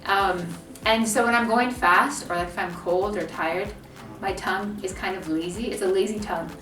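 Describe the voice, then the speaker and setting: A woman's voice: mid-range voice, normal speed, fairly bright tone. A talkative young American woman chats casually, dropping "like" often and laughing easily. Her voice sits in the middle of the range. It rings in a roomy space, picked up from a little way off. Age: young adult. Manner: chatty, laughs often, many "like" fillers. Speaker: co-host. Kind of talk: casual chat. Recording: echoey room, mic at a distance, music behind.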